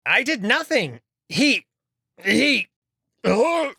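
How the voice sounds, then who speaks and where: A man's voice: very high-pitched, big pitch swings, very slow, creaky, sharp tone, breathy edge, exaggerated voice. A man voicing a haughty, menacing male alien wolf noble in a visual novel, with an imperious, sneering manner. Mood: panicked, disgusted.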